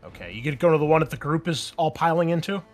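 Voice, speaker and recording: A man's voice: on the high side, expressive, fast. Man running a tabletop game over music. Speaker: game master of an online tabletop game. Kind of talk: casual rules talk and improvised narration.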